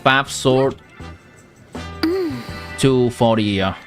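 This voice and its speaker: A man's voice: mid-range voice, very slow. A young man from Vietnam, a native Vietnamese speaker with a Vietnamese accent, chatting casually on a live game stream and answering viewers while giving game advice. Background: game music.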